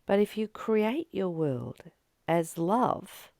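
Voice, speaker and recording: A woman reading aloud and reflecting on spiritual teachings in a deliberate, emphatic way, with pauses between phrases. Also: mid-range voice, very animated, creaky, a little mellow, dry recording, clean studio sound. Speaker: host of a spiritual reading channel.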